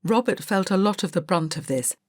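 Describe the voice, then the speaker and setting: A woman's voice: mid-range voice, animated, fairly quick, no echo, clean studio sound. A woman reading a scripted children's story as an audiobook narrator.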